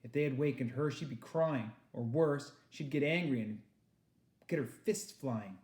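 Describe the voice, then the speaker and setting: A man reading a children's novel aloud with expressive narration, recorded in an echoey room. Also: medium pitch, unhurried. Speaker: read-aloud reader.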